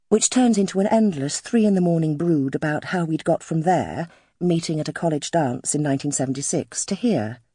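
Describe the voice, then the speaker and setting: A woman narrating first-person literary fiction as an audiobook, in a wry, expressive storytelling manner. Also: sits low, husky, dry recording, clean studio sound.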